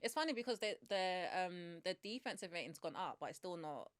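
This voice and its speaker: A young woman from the UK speaks in a fairly low voice on a casual sports podcast. She thinks aloud in a relaxed way, often trailing off. Her voice is recorded dry and close. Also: a little fast, no room sound. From United Kingdom. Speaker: podcast co-host. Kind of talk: casual chat.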